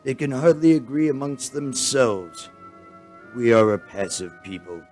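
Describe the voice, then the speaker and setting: A man playing a humble, gloomy alien chancellor in a radio play, speaking in a formal, halting, resigned manner. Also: mid-range voice, lively intonation, darkish tone, faint music behind, natural voice. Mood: courtly, resigned.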